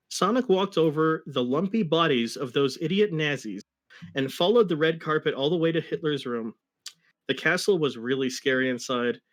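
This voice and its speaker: Male story reader, fairly high voice, comic dramatic narration broken by wry asides. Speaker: reader of a fan fiction story.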